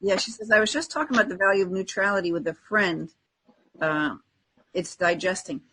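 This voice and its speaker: Husky lady podcast cohost, casual chat, kinda tentative and halting as she thinks stuff through. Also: mid-range voice, pushed and bright, rough recording. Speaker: podcast co-host.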